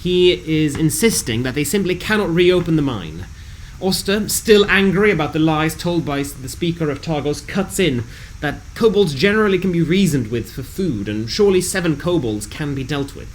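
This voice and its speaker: Male storyteller for a tabletop recap: medium pitch, dramatic and measured, slight background hum. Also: rough recording. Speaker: narrator reading a tabletop game recap. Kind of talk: dramatic scripted recap narration.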